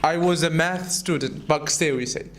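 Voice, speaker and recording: A young man teaching English answers his students' questions in an animated way, often repeating a word before going on. His voice sits high for a man. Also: measured pace. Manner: animated, repeats words while thinking.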